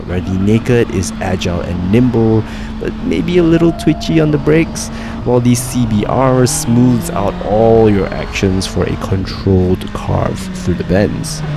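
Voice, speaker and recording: A man with a Malaysian English accent, casually and enthusiastically reviewing motorcycles outdoors. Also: fairly low pitch. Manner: relaxed, enthusiastic, code-switching. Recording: outdoor traffic noise.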